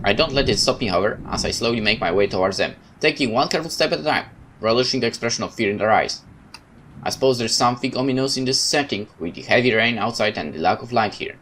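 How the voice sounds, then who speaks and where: A man reads a horror game's story aloud in a medium-pitched voice. He narrates in the first person with dramatic expression, slipping into casual asides. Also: animated, fast, fairly bright tone, slight background noise. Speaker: let's player reading a game aloud.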